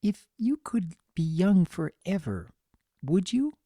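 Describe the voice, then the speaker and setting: A reader voicing a gentle, anxious young female pony with a soft, hesitant, earnest manner in a story reading. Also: mid-range voice, sing-song, takes it slowly, dry recording, clean studio sound, pitched above the performer's own voice, full character voice.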